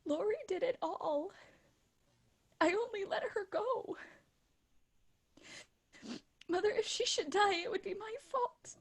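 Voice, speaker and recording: A woman acting a passionate, remorseful teenage girl in an audio play, husky and breathy, earnest and emotional. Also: very high voice, normal speed. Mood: distraught, guilty.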